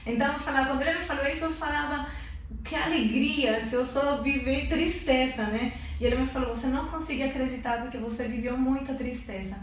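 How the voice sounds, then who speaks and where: A woman's voice: sits high, a little fast, a little hiss or hum. Woman sharing an emotional church testimony. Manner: earnest, tag questions, lively. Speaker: interview guest giving testimony. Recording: echoey room, mic at a distance.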